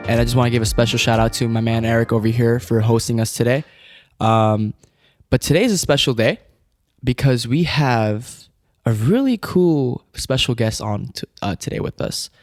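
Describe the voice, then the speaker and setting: A man's voice: mid-range voice, normal speed, a little mellow, faint music behind. A young man hosting a podcast interview, casual and upbeat, using fillers like "kinda". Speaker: podcast host.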